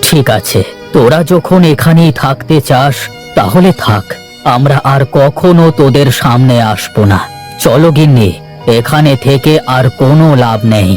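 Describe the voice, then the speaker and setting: Male actor playing a rich cartoon father, estranged from his daughters, assertive and insistent, music low behind. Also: expressive, moderate pace, darkish tone, natural voice. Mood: resigned. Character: insistent estranged father from a wealthy palace family. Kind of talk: Bengali animated moral story dubbing.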